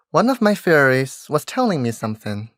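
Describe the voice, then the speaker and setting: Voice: mid-range voice, big pitch swings, unhurried, dry recording, studio quality. An actor playing a lofty, commanding fairy monarch who tells tales in a theatrical stage-verse manner. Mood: conversational, amused. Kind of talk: Shakespearean verse stage acting.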